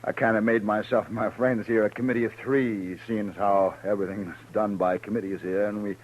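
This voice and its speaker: A man's voice: on the low side, fairly even intonation, slight background noise, lightly acted. An actor playing a sly, insolent drifter in a 1950s radio western, mock-polite and drawing out his words. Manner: drawn-out, hesitating mockery. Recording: dull old radio recording.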